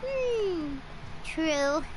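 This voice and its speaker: A woman voicing a tiny, inquisitive, childlike companion in a video game, with a squeaky voice. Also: expressive, extremely slow, heavily acted. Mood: curious. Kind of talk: game voice acting. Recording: game audio under loud noise.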